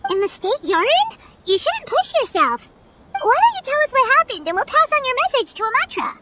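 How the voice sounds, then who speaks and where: Squeaky, very high childlike companion. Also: female voice, sing-song, brisk, very noisy. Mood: chatty, concerned. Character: tiny childlike female companion, chatty and curious. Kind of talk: video game voice acting.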